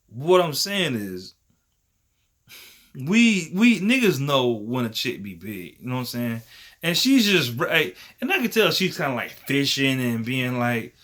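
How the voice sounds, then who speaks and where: A man's voice: medium pitch, very animated, measured pace, a bit bright. A man of thirty talking casually to the camera in a rambling, slangy and profane way, often dropping "you know what I'm saying.". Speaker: vlogger.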